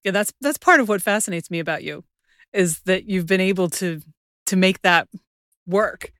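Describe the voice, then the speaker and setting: A woman's voice: medium pitch, normal speed, a bit bright, no room sound, studio quality. A woman hosting a conversational interview, putting questions to her guest. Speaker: interviewer, podcast host.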